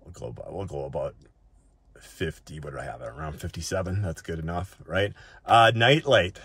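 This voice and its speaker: Low-voiced man giving a casual tutorial. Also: moderate pace, a bit bright, breathy edge, dry recording. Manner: informal, often ends points with a checking "right?". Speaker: tech reviewer presenting a settings walk-through.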